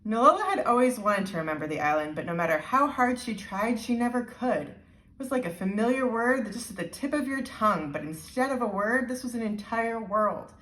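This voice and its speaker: A woman reading a children's storybook aloud with deliberate, expressive emphasis. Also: fairly high pitch. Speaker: storybook reader. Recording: roomy, echoey sound.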